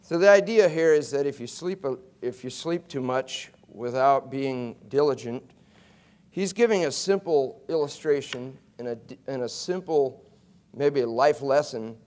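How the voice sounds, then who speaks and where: A middle-aged man preaching a chapel sermon from a pulpit, going from soft and low to loud and emphatic, often restarting his words. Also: measured pace. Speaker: guest preacher. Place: college chapel stage behind a pulpit. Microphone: pulpit mic, wide fixed camera.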